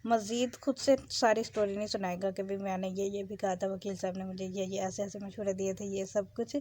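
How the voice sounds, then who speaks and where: A woman's voice: medium pitch, flat delivery, a little fast, dark tone. A woman with a Pakistani accent giving an explanatory law lecture, as a teacher going through legal text.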